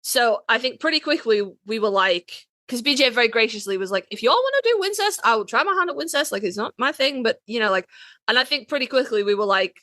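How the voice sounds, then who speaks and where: A woman's voice: a little high, lively intonation, quick pace, sharp tone, no echo. A woman co-hosting a podcast, chatting casually with a wry, emphatic way of talking. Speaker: podcast co-host. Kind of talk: casual chat.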